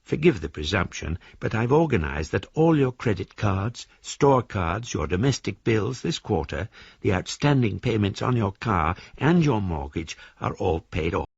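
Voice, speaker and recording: A man's voice: fairly low pitch, sing-song, normal speed, vocal fry, breathy edge, dry recording, dull audio, heavily acted. An actor playing an older maverick, wryly courteous and pedantic, reading his letter aloud in a radio drama. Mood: fond, courteous. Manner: pedantic, mischievously formal, genteel. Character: Julius Hutch, elderly eccentric maverick, wryly courteous letter writer.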